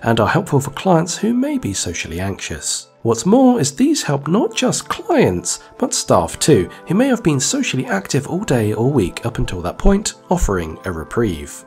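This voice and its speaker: A man presenting a scripted software tutorial voice-over in a clear, upbeat instructional way. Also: mid-range voice. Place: voice-over over screen recording.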